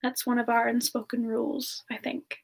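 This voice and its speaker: A woman voicing a gentle, playful siren entertainer in a soft, hesitant semi-ASMR chat, answering questions in character. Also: fairly high pitch, flat delivery, vocal fry, slightly rough. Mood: tender, earnest. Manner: soft, unhurried, giggly, hesitant.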